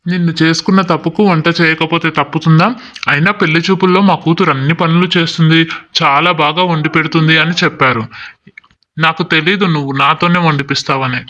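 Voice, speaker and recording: A man's voice: on the high side, fairly even intonation, fast, sharp tone, highs cut off. A man acting an exasperated young village farmer husband who complains in a long-suffering way, in a moral story. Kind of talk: acted Telugu moral story dialogue.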